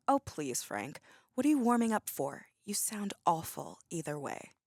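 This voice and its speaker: A woman's voice: fairly low pitch, very animated, measured pace, a little mellow, no echo, studio quality, full character voice. A woman playing a snide, world-weary radio co-host in an audio drama, sarcastic and exasperated. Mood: scornful, sarcastic.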